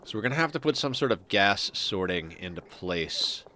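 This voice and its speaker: A man's voice: on the low side, very animated, moderate pace, pushed and bright, slight background noise. A man with a stuffy, congested nose giving casual gameplay commentary as a streamer, muttering to himself and mocking himself with frequent chuckles. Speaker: game streamer.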